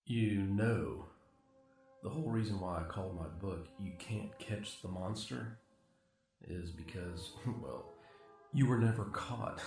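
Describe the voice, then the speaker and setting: A man's voice: fairly low pitch, a little mellow. A man playing a fawning crime author and interviewer in a radio drama, awkward and admiring. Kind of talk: amateur radio drama acting. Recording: roomy, distant, faint music underneath.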